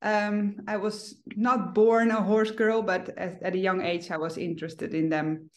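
A woman's voice: mid-range voice, flat delivery, a little slow. A woman, chatting in a friendly, casual way as a guest on a video call from home. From Netherlands. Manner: friendly, chatty, with fillers and restarts. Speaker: guest, horse owner. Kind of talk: casual interview chat. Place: home room.

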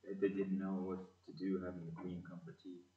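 A man's voice: low-pitched, little pitch movement, a little fast, close to an everyday voice. A man playing a cheeky, teasing fourteen-year-old boy in a community audio play. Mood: sombre. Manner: playful, teasing. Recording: echoey, muffled room recording.

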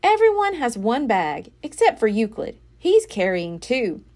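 Woman reading with very lively pitch. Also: unhurried. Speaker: storybook reader. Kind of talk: expressive read-aloud of a children's picture book.

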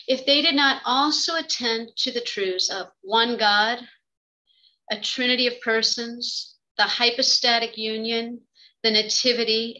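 A middle-aged woman reading a prepared academic lecture in a measured, formal manner on a video call. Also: fairly high pitch, pushed and bright, rough recording. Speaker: theology professor and religious sister giving a talk. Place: indoor office, on a video call. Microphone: computer microphone on a video call.

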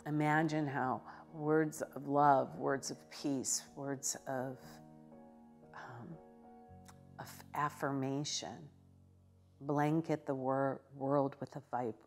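A woman minister giving a contemplative devotional teaching in a living room, with measured delivery and occasional hesitations. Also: extremely low pitch, a little mellow. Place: living room with a sofa, indoors.

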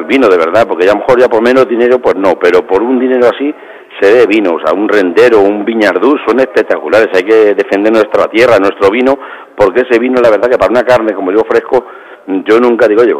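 A man with a lowish voice, calling in over a phone line to a radio show. He talks proudly and at length about his restaurant's food and wine. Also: a little mellow. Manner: proud, talkative, repeats "espectacular". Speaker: restaurant manager.

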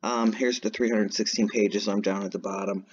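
A man's voice: fairly low pitch, steady tone, normal speed, husky, highs cut off. A man hosting his own channel, talking casually through a screen-share walkthrough in a chatty, rambling way. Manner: chatty and rambling, frequent "um" and "anyways". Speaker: channel host.

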